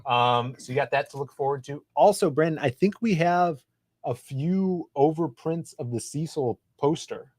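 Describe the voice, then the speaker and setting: A man co-hosting a casual comics livestream, chatty and relaxed, reading out viewer messages. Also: a little high, moderate pace. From Chicago area. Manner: laid-back, chatty, reads super chats aloud.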